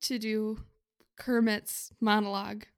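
A woman's voice: mid-range voice, little pitch movement, measured pace, smooth. A woman co-hosting a casual comedy podcast, talking tentatively as she thinks aloud. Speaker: podcast co-host.

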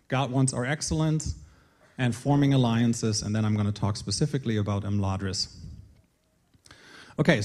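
A man's voice: medium pitch, a little mellow, slightly rough. A middle-aged man from northern Germany with a German accent, giving a personal talk to an audience in an earnest, lightly self-deprecating way. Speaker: conference speaker, games creative director.

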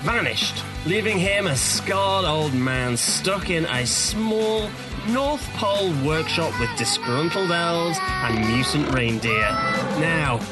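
An actor playing a pompous, sneering British television news anchor in a satirical broadcast. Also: male voice, a little high, lively intonation, slow. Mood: pompous, scornful. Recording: loud music bed behind the voice.

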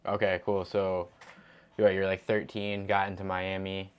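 A male podcast host interviewing a guest in an energetic, motivational way, with many filler words. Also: sits low, measured pace, smooth.

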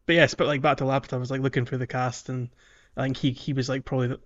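A man with an average-pitched voice discusses films casually, as a podcast co-host would. He hesitates and searches for words. The sound is a little dull and muffled. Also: flat delivery, racing. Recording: slightly dull, muffled audio.

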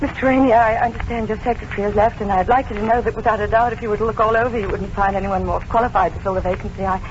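A woman's voice: mid-range voice, steady tone, background noise, natural voice. An actress playing a devoted, lovelorn young secretary in an old radio drama, speaking earnestly and emotionally as she tells her story. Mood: flustered, nervous. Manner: earnest, emotional, halting when flustered. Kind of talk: 1940s radio drama acting with first-person narration. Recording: muffled old radio recording with music behind.

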